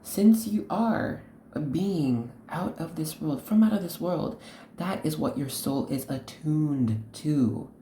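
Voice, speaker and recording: A woman hosting an unscripted tarot reading, speaking to the viewer in an earnest, instructive way, in short halting phrases. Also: deep voice, expressive, dark tone, roomy sound, a little hiss or hum. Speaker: host, tarot card reader.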